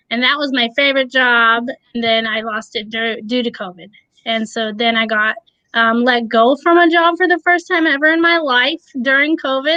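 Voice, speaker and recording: Female guest, 30s, higher pitch and crisp brightness, open and self-reflective. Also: no room sound, poor audio. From born in a Russian-speaking country, adopted to the US at six. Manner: open, reflective, light nervous laughs. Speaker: guest, preschool educator and aspiring life coach. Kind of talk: candid personal interview.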